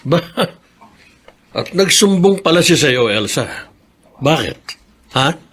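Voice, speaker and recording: An actor playing a bitter, stubborn grown son in a radio drama, defiant and scornful, with a Filipino accent. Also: male voice, fairly high pitch, very animated, takes it slowly, a bit bright, breathy edge, heavily acted. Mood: cold, defiant. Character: bitter, stubborn grown son, hard-drinking tricycle driver.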